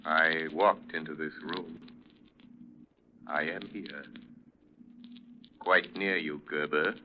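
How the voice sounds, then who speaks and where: An actor playing a sinister, taunting invisible avenger in old radio drama style, making slow, ominous pronouncements. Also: male voice, extremely low pitch, fairly even intonation. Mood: menacing, taunting. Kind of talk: 1930s radio drama acting. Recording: dull, noisy old radio recording.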